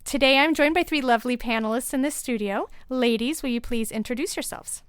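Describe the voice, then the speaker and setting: A woman's voice: high voice, a little fast, clean tone, no room sound. A woman hosting a podcast from a studio, opening the show in an upbeat, welcoming way. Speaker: podcast host.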